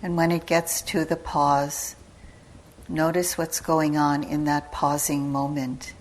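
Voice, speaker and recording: A woman teacher giving guided meditation instructions in a calm, measured manner, with long pauses between short phrases. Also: low-pitched, a little hiss or hum, low-quality recording. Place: meditation retreat hall.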